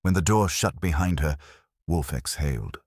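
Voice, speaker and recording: A man with an exceptionally deep, husky voice narrates a detective story. His pitch moves in lively swings as he reads, and the sound is dry and close to the ear. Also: moderate pace, no echo. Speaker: audiobook narrator. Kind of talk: scripted first-person detective story narration.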